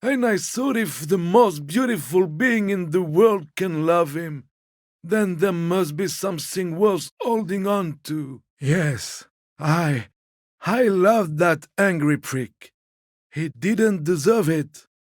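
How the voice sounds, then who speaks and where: A man's voice: fairly high pitch, lively intonation, slow, dry recording, studio quality. An actor playing a lonely old man in a video game, wry and wistful, with a fond bitterness and salty tongue. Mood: grieving, fond. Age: older. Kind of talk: video game character dialogue.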